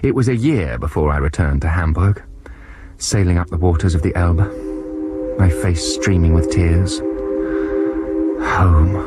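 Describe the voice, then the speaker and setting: A man's voice: sits low, measured pace. A man playing a middle-aged German professor who narrates his past adventure in a radio drama, wry and reflective. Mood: wistful. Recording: radio drama with loud music underneath.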